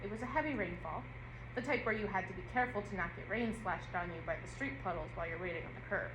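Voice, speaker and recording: A woman actor reading an audio play script at rehearsal in a measured storytelling way. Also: medium pitch, lightly acted. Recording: roomy and noisy rehearsal room.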